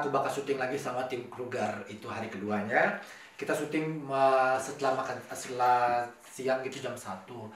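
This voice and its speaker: A man's voice: medium pitch, fairly bright tone. Hesitant Indonesian man in an echoey room. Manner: hesitant, halting, repeats words. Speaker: vlogger telling his own story. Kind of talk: casual storytelling to camera, mixing Indonesian and English. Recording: very echoey room, distant mic.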